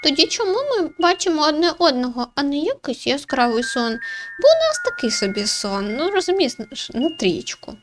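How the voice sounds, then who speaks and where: High-pitched chatty woman playing a game. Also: big pitch swings, moderate pace. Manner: chatty, playful, muttering asides. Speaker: gaming video creator, player. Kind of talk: casual playthrough commentary, reading visual novel dialogue aloud. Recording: music behind the voice.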